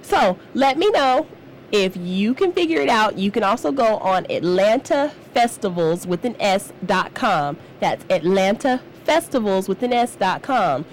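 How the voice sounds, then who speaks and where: A woman's voice: mid-range voice, expressive, a little slow, slight background noise. A woman hosting a live radio talk show, chatty and upbeat as she promotes events, often laughing. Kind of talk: casual live radio chat.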